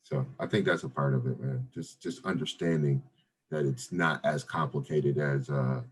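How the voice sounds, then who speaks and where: Very deep, husky-voiced man. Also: steady tone, moderate pace. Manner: laid-back, many fillers and restarts, says "brother" and "right?". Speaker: real estate investor guest. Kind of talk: casual podcast interview.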